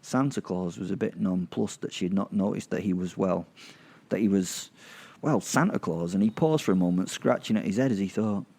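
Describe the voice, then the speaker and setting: A man narrating a horror audio drama in the first person, wry and gleeful, pausing for effect. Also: sits low, gravelly, a little mellow, breathy edge. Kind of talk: first-person sardonic storytelling.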